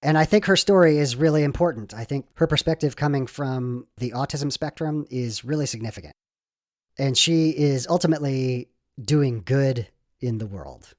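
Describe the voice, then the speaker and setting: Male podcast host, medium pitch, encouraging interviewer. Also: normal speed, no room sound, clean studio sound. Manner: warm, encouraging interviewer with short backchannels.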